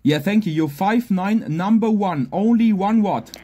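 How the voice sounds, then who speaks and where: High-pitched man calling on ham radio. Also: unhurried, mellow. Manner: clipped, repetitive callsign spelling with radio phonetics. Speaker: amateur radio operator. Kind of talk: amateur radio contest exchanges. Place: outdoors at a garden picnic table.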